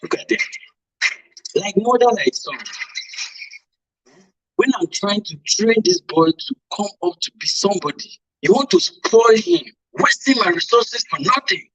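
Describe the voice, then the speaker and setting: A man's voice: sits high, lively intonation, a little slow, long pauses, fairly bright tone, exaggerated voice. A man with a Nigerian accent playing an angry, domineering father who scolds and badgers in a radio drama. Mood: angry scolding. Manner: scolding, badgering with repeated questions. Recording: off-mic, distant voice.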